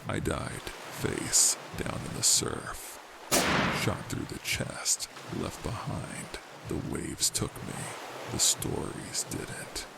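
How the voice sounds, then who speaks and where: An actor playing the bitter, sarcastic ghost of a forgotten pirate's apprentice. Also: male voice, takes it slowly, heavy background noise, natural voice. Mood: mournful. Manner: sarcastic, aggrieved. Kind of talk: audio drama acting.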